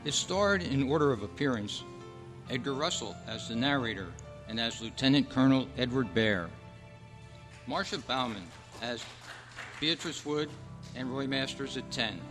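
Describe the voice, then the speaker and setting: A man announcing in old-time radio style, reading scripted credits with formal, deliberate enunciation. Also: medium pitch. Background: music.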